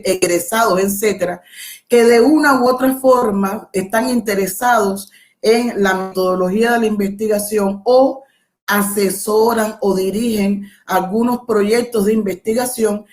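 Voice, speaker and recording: Cuban lady teaching a webinar, slow and careful, stops to fix her words, laptop mic. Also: on the high side, darkish tone. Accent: Cuban Spanish. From Cuba. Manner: measured, explanatory, with thinking pauses and self-corrections. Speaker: guest lecturer. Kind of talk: academic webinar lecture.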